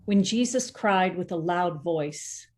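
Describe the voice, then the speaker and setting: A woman reading scripture aloud as narrator in a church dramatic reading, in a steady, measured way with the odd self-correction. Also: fairly low pitch. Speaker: scripture reader.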